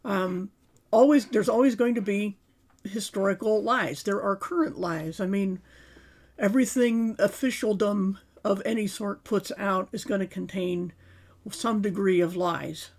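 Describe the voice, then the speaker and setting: A woman hosting a livestream with a sore throat, her voice raspy from sinus congestion, clearing her throat often and talking with hesitant fillers. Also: mid-range voice, unhurried, a little mellow. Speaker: livestream host. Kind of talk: casual livestream talk and article reading.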